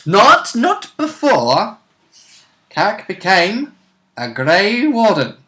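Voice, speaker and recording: A man's voice: high voice, wildly swooping pitch, slow pace, pushed and bright. A man chatting casually as a tabletop role-player, with a joking, wry manner. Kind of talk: casual banter.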